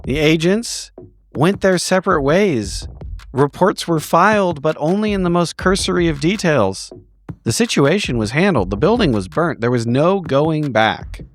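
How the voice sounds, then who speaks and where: A man giving a dramatic, improvised story recap as a tabletop roleplaying player, with wry, deliberate phrasing and pauses. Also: fairly high pitch, expressive.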